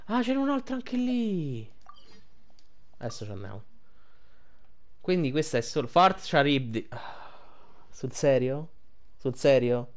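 An Italian man giving casual gameplay commentary, rambling and thinking aloud as he plays. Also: mid-range voice. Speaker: gaming streamer.

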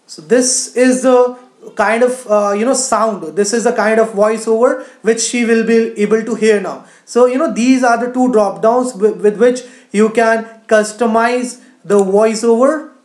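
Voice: mid-range voice, unhurried, a little mellow, echoey room. A teacher narrating a screen-share tutorial in an explanatory way, often saying "you know". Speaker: coding teacher.

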